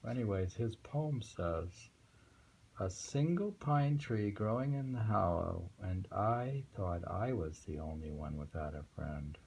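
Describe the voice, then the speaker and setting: A middle-aged man reads and discusses poems in a musing, unhurried way. His voice is low and gravelly, with a soft, mellow tone. It is a casual home recording with faint noise behind him. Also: expressive, dull audio. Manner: unhurried, musing, repeats phrases. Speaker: host reading and discussing poetry. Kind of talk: casual poetry reading with commentary.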